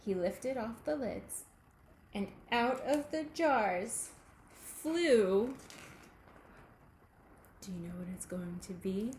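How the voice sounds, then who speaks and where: A woman reading a children's picture book aloud, in a deliberate, expressive way aimed at young listeners. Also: medium pitch. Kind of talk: children's storybook read-aloud. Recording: echoey room with faint background noise.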